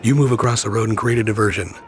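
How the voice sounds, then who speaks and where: An actor playing a steady, resolute male special-ops agent in a video game, giving terse orders. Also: fairly low pitch, rapid-fire, a little hiss or hum, close to an everyday voice. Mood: terse, calm. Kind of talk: video game cutscene voice acting.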